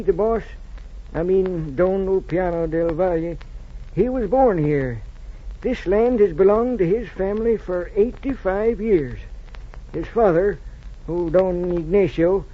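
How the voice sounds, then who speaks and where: An actor playing a loyal, plain-spoken ranch hand in 1940s radio drama, folksy and insistent, with rustic grammar. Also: male voice, high-pitched, a little slow. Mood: earnest, insistent. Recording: muffled noisy old radio recording.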